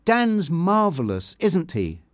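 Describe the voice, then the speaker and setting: A man voicing an excitable, insecure young woman who sings in a band, in an eager and emotional way. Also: on the high side, takes it slowly, no echo, clean studio sound, voice pushed up, full character voice. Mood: nosy, eager.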